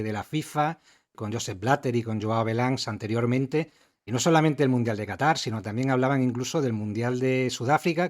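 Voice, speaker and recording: A middle-aged man from Spain, hosting an interview. His pitch is in the middle range, and he sets up long, friendly questions at a measured pace. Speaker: podcast host and interviewer.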